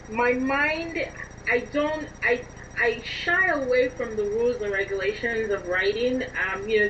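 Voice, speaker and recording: A woman being interviewed as a writer and musician, answering conversationally in an expressive, emphatic way. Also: mid-range voice. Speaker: interviewed playwright and songwriter. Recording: dull, distant mic with faint noise.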